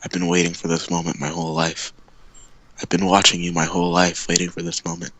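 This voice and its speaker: A man's voice: deep voice, completely flat delivery, fast talker, fairly bright tone, no echo, pitched below the performer's own voice, rough recording. A man voicing a cold, vengeful villain who gloats with menace in a comic improvised story. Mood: cold gloating.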